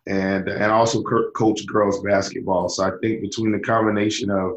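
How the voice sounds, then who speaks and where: Hoarse low-voiced man on a podcast. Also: level tone, normal speed, roomy sound, low-quality recording. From US East Coast. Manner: eager, stammering restarts. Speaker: podcast guest analyst. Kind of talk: fantasy basketball podcast chat.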